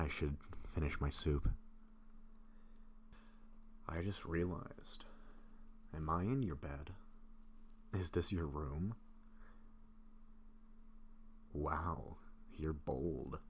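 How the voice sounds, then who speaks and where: A man acting a gentle, bashful, sick vampire in an intimate roleplay audio, speaking softly and haltingly to a close friend. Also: rumbling low, slight background noise. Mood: weary, evasive, then playful, grateful. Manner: soft-spoken, hesitant, halting with pauses.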